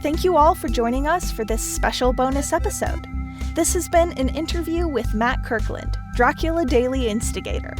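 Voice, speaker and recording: A woman's voice: high-pitched, expressive, moderate pace, music in the background. A woman reading a podcast's scripted outro credits in a friendly tone.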